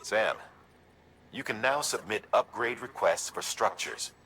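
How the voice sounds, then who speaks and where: A man playing a steady commander in a video game, guiding and encouraging a courier. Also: on the low side, a little slow, a little hiss or hum. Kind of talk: video game voice acting, radio guidance.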